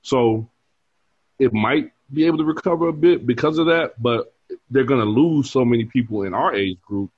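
A man's voice: mid-range voice, moderate pace, husky, dry recording, phone-quality sound. A man in his forties, a bar manager, chatting casually as an interview guest and telling stories with frequent laughs. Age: 40s. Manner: easygoing storyteller who laughs often.

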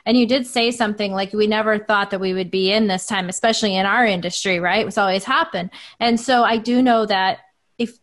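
A woman's voice: mid-range voice, steady tone, fairly quick, fairly bright tone. Chatty woman podcast host. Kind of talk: casual interview chat.

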